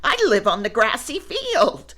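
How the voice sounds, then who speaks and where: A woman voicing a friendly plump male farm sheep, high and giggly. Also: wildly swooping pitch, a little slow, pushed and bright, full character voice. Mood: cheerful.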